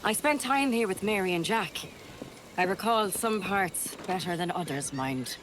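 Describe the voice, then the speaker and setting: A woman's voice: mid-range voice, animated, measured pace, lightly acted. A woman acting a seasoned, loyal pirate shipmate in a video game, talking in a frank, matter-of-fact way. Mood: confiding. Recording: clear ambient noise behind the voice.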